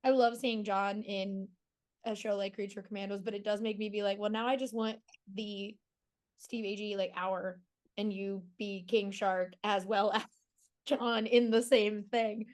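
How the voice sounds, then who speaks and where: A woman's voice: medium pitch, fairly even intonation, a little slow, darkish tone. A woman interviewing in a casual, chatty style with the enthusiasm of a fan. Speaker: entertainment interviewer.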